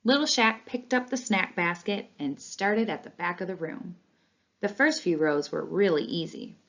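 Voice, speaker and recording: A woman reading a children's storybook aloud in an expressive, animated way. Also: fairly low pitch, moderate pace. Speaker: read-along reader. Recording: dull, lo-fi sound.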